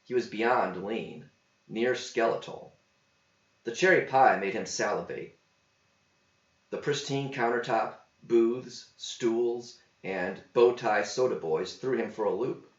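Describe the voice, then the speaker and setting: Slow-reading man in a roomy space. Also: medium pitch. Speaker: author reading own verse epic. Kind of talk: scripted verse narration. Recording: roomy, slightly dull home recording.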